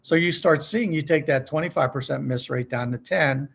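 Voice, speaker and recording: Gravelly, husky middle-aged businessman explaining. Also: fairly high pitch, normal speed, dull audio. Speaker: podcast guest, company executive.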